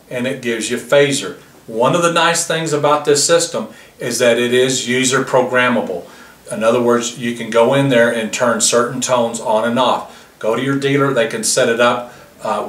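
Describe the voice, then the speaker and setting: Male product presenter, 50s, forceful bright tone, recorded off-mic in a roomy space. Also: medium pitch. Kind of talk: product demonstration, explanatory. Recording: roomy, distant mic, faint background noise.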